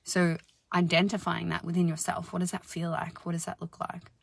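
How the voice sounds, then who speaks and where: Low husky lady, gravelly edge, slow soothing spiritual talk, dry close sound. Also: completely flat delivery, no room sound. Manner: slow, soothing, meditative pacing with soft whispered asides. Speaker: spiritual healer and channel host. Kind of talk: calm spiritual self-help talk.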